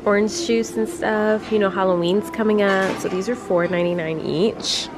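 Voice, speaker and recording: A woman's voice: medium pitch, fairly even intonation, moderate pace, loud music behind the voice. A woman in her twenties vlogging casually, slightly nasal from a cold, full of "like" fillers, filming at arm's length. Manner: chatty, many "like" fillers. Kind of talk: casual travel vlog. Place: hotel room and shop, indoors. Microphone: handheld camera at arm's length.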